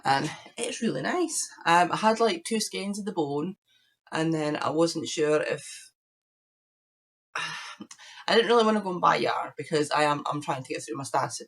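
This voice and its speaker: A woman hosting her crafting podcast, chatting casually to camera with a croaky voice while she recovers from illness. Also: deep voice, lively intonation, moderate pace. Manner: chatty, rambling, shows knitting to camera. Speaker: podcast host.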